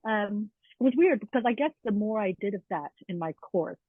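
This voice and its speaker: A woman's voice: mid-range voice, expressive, brisk, slightly rough, no room sound. A woman with a mild Edinburgh Scottish accent tinged with American, chatting in an interview with frequent fillers and laughs. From Scotland. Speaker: guest teacher. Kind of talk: casual conversational interview. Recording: tinny phone-like line.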